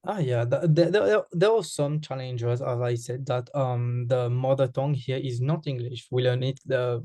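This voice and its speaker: A man's voice: mid-range voice, normal speed, warm tone, no room sound. A man from Mauritius with a Mauritian accent, a Creole speaker answering interview questions, hesitant with frequent fillers and restarts.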